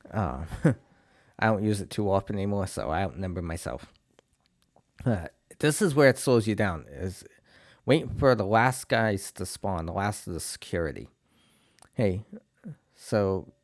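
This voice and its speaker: New england guy with a bit of a lisp, kinda low voice, got a cold, rambly game walkthrough. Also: no room sound, clean studio sound. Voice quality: slight lisp. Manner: relaxed and rambling, many "uh"s and restarts. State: has a cold, clearing his throat. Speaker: game guide creator. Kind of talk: casual gameplay walkthrough commentary.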